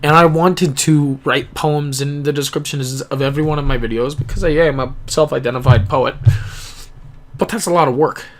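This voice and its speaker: A young man talking earnestly and reflectively into his camera in a rambling personal vlog, pausing and restarting as he thinks. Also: on the high side, steady tone. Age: young adult. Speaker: gaming YouTuber. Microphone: camera-mounted microphone, close.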